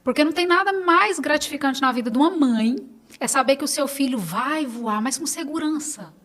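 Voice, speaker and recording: A woman's voice: high voice, animated, moderate pace, a bit bright. A middle-aged Brazilian woman talking casually as a podcast guest, telling stories and quoting conversations as she goes.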